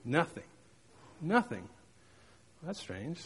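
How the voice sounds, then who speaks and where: A man's voice: on the high side, big pitch swings, takes it slowly, a bit bright, husky, big-room sound. A man delivering a sermon, telling personal stories with emphatic repetition and playful sound effects. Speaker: pastor.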